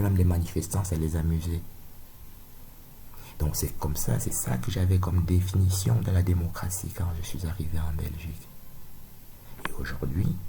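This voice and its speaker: A man's voice: sits low, vocal fry, dark tone, slight background noise. A middle-aged man from Cameroon, giving a rambling, insistent monologue full of tag questions. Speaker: video lecturer.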